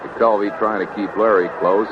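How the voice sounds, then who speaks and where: A man calling baseball play-by-play in clipped, unhurried phrases on an old radio broadcast. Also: fairly low pitch, steady tone. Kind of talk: radio sports commentary. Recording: dull noisy vintage radio broadcast.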